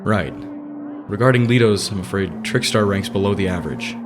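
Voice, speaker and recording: Casting: man as proud, hot-headed teen idol, earnest and formal, low register, anime dub over loud music. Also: a little fast, lightly acted. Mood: frustrated. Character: serious, proud, hot-headed teenage boy idol, easily slighted. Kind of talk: anime fan dub acting.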